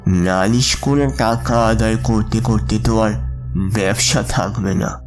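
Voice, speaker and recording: A man's voice: on the low side, takes it slowly, music in the background. A man playing a frightened, respectful businessman in an audio horror story, pleading and worried. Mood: worried, complaining. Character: frightened Bengali businessman, respectful, anxious and plaintive.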